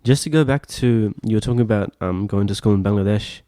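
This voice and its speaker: A man with a lowish, mellow voice co-hosts a podcast and asks his guest curious, probing questions. His manner is relaxed and conversational. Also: quick pace, no room sound, studio quality. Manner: curious, inquiring. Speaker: podcast co-host. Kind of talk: interview questions.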